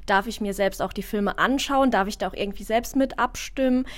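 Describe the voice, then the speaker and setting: A woman's voice: mid-range voice, brisk. A woman interviewer asking curious questions, several in a row. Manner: curious, asks questions in runs.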